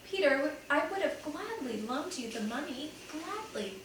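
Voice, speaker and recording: A woman's voice: high-pitched, big pitch swings, measured pace, background noise, voice pushed up. A woman reading the part of a kindly older woman innkeeper who is hurt and bewildered. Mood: pleading. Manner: soft and pleading. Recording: very echoey room, distant mic.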